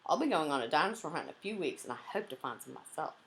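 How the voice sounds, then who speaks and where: Woman as deliberate young museum guide, lowish voice. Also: lively intonation, a little hiss or hum, poor audio. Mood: brisk, informative. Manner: clear, deliberate, instructive. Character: polite instructive young female museum tour guide.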